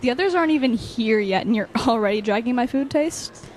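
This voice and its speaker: A woman's voice: a little high, expressive, brisk, a little hiss or hum, natural voice. A young woman playing a good-humored, easygoing college student in an audio drama, chatty and self-deprecating. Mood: light, chatty. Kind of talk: audio drama casual dialogue.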